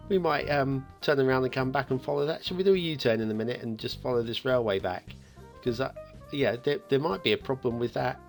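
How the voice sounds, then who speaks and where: A man's voice: medium pitch, brisk, faint music behind. A man hosting a game map review in a chatty, playfully teasing way, commenting casually and off the cuff.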